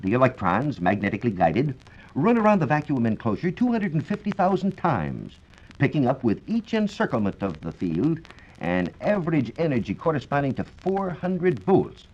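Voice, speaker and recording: A man's voice: mid-range voice, wildly swooping pitch, normal speed, a little mellow. An American man giving a formal, scripted explanation as a science reporter on 1940s radio. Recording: dull, lo-fi 1940s radio recording.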